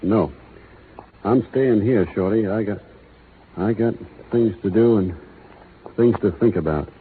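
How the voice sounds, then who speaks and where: An actor playing a steady cowboy foreman who gives calm, clipped commands, in 1930s radio acting. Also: fairly low pitch, measured pace, natural voice. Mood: grieving. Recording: tinny 1930s radio broadcast, faint hiss.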